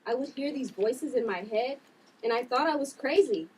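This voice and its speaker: A woman's voice: high voice, expressive, normal speed, a little mellow, breathy edge, heavily acted. A woman reading an anxious, bewildered sister at a drama table read. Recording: distant mic, faint background noise.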